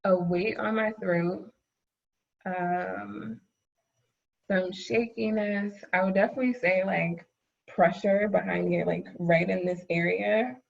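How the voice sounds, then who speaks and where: Chatty woman with a gravelly voice. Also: mid-range voice, steady tone, takes it slowly, muffled recording. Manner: chatty, laughs often, says "like" a lot. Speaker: course co-teacher. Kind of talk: casual webinar conversation. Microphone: video call.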